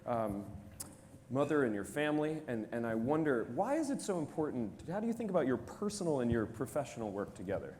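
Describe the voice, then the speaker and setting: A man interviews a guest on stage, posing his questions politely and with measured pauses. His pitch is in a middling range for a man. The long reverb of a large hall surrounds his voice. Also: sing-song, a little mellow, slight background noise. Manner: polite and measured, with some hesitations. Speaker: interviewer and moderator.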